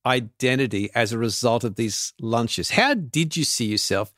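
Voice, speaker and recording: A man's voice: mid-range voice, animated, normal speed, a bit bright, dry recording, clean studio sound. A man hosting a podcast, asking curious, thoughtful questions in a conversational way. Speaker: podcast host. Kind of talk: conversational interview.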